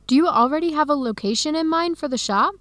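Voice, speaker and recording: A woman playing a cheerful, adventurous young American in a scripted radio drama, speaking clearly and brightly with an American accent. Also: high-pitched, fast talker, no room sound. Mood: friendly, upbeat. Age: young adult.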